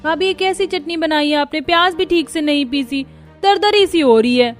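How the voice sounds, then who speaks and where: Actress as a pert, high-voiced young sister-in-law. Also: female voice, normal speed, faint music behind, natural voice. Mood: critical. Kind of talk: Hindi animated family story dialogue.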